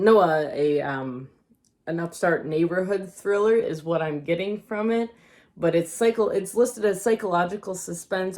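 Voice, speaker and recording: A woman's voice: mid-range voice, a little mellow. A woman chatting through a casual book haul for her channel. Her voice is wearing thin and she is losing it. She rambles, hedges and second-guesses the details as she talks. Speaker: book vlogger.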